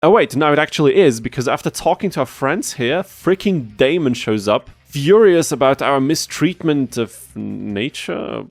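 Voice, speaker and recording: A man's voice: medium pitch, lively intonation, moderate pace, vocal fry, hardly any pauses, no echo, soft background music. A man narrating a gameplay challenge as a video host, casual and wry, with mock-exasperated asides. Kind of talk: scripted gameplay commentary.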